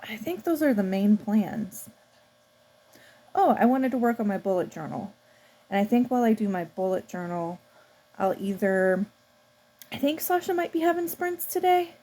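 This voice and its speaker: A woman's voice: mid-range voice, big pitch swings, normal speed, pauses often, dark tone. A woman book vlogger chatting casually and informally to the camera, thinking aloud as she goes. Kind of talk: casual vlog chat to camera.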